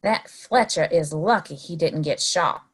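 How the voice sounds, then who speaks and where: A soft-spoken American townswoman in a Western audio play, acted by a woman. Her voice is slightly low and husky. She speaks slowly, with a grateful air.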